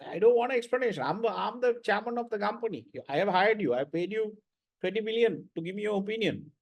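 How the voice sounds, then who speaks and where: A man's voice: high voice, lively intonation, quick pace. A man lecturing students in an online class, blunt and repetitive, often checking with "right?".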